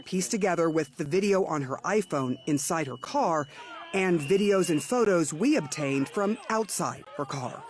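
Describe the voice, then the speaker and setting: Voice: mid-range voice, expressive, moderate pace. A news reporter narrating a broadcast report and questioning an interviewee.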